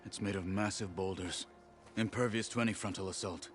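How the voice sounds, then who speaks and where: Male actor as grim warrior, very low voice, terse, vengeful, deadpan, video game dialogue, music behind. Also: fairly quick, close to an everyday voice. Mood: grim, resolute. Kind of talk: video game character acting.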